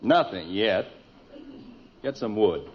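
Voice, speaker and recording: A man's voice: fairly low pitch, expressive, takes it slowly, breathy edge, exaggerated voice. An actor playing a wry, impatient middle-aged American father in a vintage radio sitcom. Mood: exasperated. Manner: wry, emphatic. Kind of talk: 1940s-50s radio sitcom acting. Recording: muffled, faintly noisy vintage radio recording.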